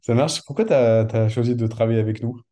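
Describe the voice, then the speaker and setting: A man's voice: medium pitch, brisk, warm tone. A man hosting an interview as a business coach, speaking with frequent hesitations and restarts. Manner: hesitant, many fillers and restarts.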